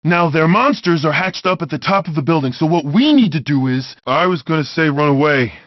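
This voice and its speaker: A man's voice: on the high side, expressive, fairly quick, no echo, highs cut off, close to an everyday voice. A man voicing a big, brawny, good-hearted video game bad guy, wisecracking, boisterous and blunt, in compressed handheld game audio. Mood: wry. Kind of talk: video game character voice clips.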